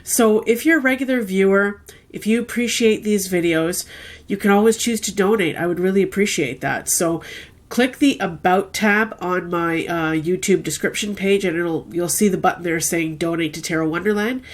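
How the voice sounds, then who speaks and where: A woman's voice: on the low side, moderate pace. A woman with a stuffed-up, nasal voice from a head cold, chatting casually through a weekly tarot reading and often checking in with "okay?". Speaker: tarot reader.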